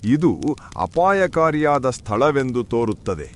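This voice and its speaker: A man's voice: mid-range voice, very animated, takes it slowly. A man playing a cautious, wise wandering monk with a grave manner in a cartoon folk tale. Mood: wary, grave.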